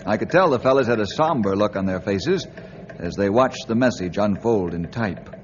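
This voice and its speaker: A man's voice: fairly low pitch, lively intonation, normal speed, lightly acted. A man playing an earnest, plain-spoken forest ranger who narrates a 1950s radio adventure. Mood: somber. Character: earnest, steady adult male forest Ranger hero narrating. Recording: dull, noisy old radio recording.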